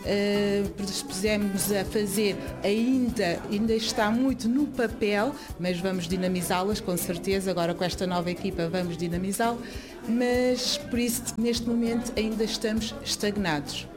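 Young woman, from Guinea-Bissau, volunteer explaining informally, medium pitch, repeated phrases, clear background music. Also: steady tone, moderate pace. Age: young adult. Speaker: association volunteer. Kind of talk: interview, informal guided explanation.

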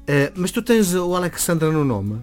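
A man interviewing a guest in a casual chat, asking quick follow-up questions. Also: fairly high pitch, big pitch swings, a little mellow. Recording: faint music behind.

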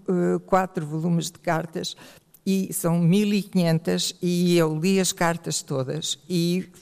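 A woman with a European Portuguese accent, an author talking about her book at a panel, halting and full of fillers and restarts. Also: fairly low pitch, creaky, a little mellow. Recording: reverberant hall.

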